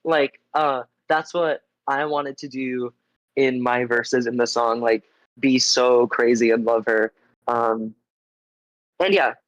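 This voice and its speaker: A man's voice: mid-range voice, steady tone, slow, no room sound, dull audio. A man getting over a cold, congested, chatting casually as an interview guest in an informal, meandering way with lots of filler likes. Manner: informal, meandering, heavy use of like. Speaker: interview guest, musician in a band. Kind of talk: casual chat. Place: live online stream.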